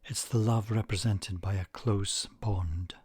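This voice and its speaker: A man narrating a guided meditation in a measured way, leaving long pauses between short phrases. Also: on the low side, steady tone, a little mellow, slightly rough, dry recording.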